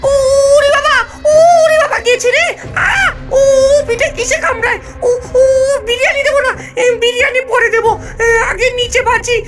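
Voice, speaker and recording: A performer voicing a childish, excitable cartoon spook with a whiny, stammering delivery. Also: very high voice, normal speed, few pauses. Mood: panicked, wailing. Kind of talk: cartoon voice acting. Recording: music under the voice.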